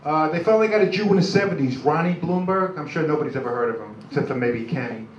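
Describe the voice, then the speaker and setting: Older new york guy doing stand-up, slightly high voice, rambly and self-deprecating, muffled echoey room recording. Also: fast talker, recorded from a distance. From Brooklyn, New York, USA. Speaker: stand-up comedian. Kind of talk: live stand-up comedy, crude jokes. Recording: dull, noisy, echoey club recording from afar.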